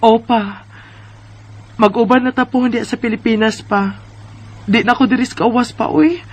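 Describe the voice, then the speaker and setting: A high-voiced, weary Filipina wife acted by a woman in a Visayan radio drama. She speaks in an earnest, plaintive way, full of homesickness, with a Visayan accent. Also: moderate pace, natural voice. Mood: homesick, affectionate. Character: weary, homesick Filipina wife divorcing her American husband. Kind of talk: Bisaya radio drama acting.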